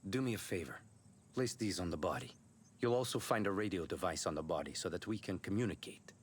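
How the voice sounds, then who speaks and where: An actor playing a calm, cunning, laid-back mercenary commander in a video game. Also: male voice, low-pitched, brisk, slight background noise. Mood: measured, confiding. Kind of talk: video game cutscene acting.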